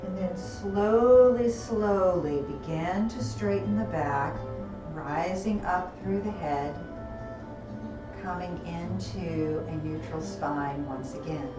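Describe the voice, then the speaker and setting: A woman teaching a gentle yoga class, calmly cueing breath and movement, her voice a little gravelly and hoarse. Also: lowish voice, slow. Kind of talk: gentle guided yoga instruction. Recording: roomy, distant, music behind.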